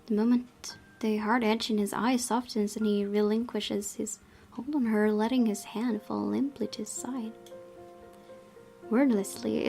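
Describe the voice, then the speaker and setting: A woman's voice: mid-range voice, darkish tone. A young woman hosting a gaming video, reading story narration aloud and breaking into casual commentary.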